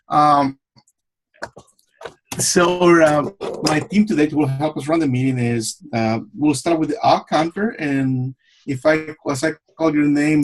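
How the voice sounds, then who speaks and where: A man from El Salvador hosting an online club meeting over a video call, friendly and unhurried with frequent fillers. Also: medium pitch. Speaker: meeting host.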